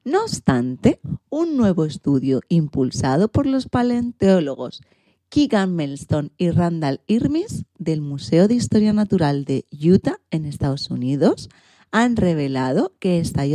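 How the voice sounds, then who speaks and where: Low, mellow woman radio narrator. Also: dry recording. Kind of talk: scripted narration for a children's programme.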